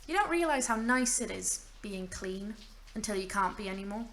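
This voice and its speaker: A woman's voice: medium pitch, animated, moderate pace, a bit bright. A woman playing a stranded, centuries-lonely mage in an audio drama, wry and bitter yet fragile, rambling in self-mocking asides. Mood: wistful. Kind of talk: audio drama monologue, naturalistic acting.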